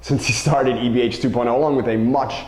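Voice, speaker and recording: A man's voice: medium pitch, fairly even intonation, fast talker. Echoey motivational man hosting a coaching vlog. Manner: emphatic, repeats and stresses key words. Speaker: basketball coach and show host. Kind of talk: motivational vlog talk to camera. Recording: roomy, echoing sound.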